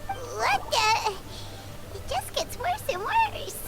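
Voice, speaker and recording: A tiny flying game companion, squeaky and very high. The delivery is childlike and excitable, swooping up and down with eager curiosity. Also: female voice, slow, creaky, loud music behind the voice, exaggerated voice. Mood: exasperated. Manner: excitable, speaks of self in third person. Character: small excitable childlike flying companion girl, food-loving. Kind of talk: video game character voice acting.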